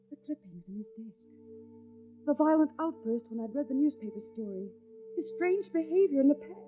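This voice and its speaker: An actress playing a frightened, suspicious wife who tells her own dark tale in 1940s radio mystery style, with anguished dramatic pauses. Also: high-pitched. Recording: dull old radio recording, music behind. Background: dramatic music.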